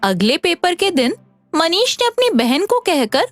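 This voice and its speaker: Very high-pitched woman narrating stories. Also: very animated, measured pace, no echo, faint music behind. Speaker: story narrator. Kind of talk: scripted narration of a children's animated story.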